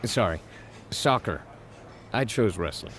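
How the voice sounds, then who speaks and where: Wrestler from Mexico, measured, wry, lively intonation, game dialogue, noisy backdrop. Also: male voice, on the low side, exaggerated voice. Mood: matter-of-fact. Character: friendly but measured male Mexican wrestler trainee. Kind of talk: video game cutscene voice acting.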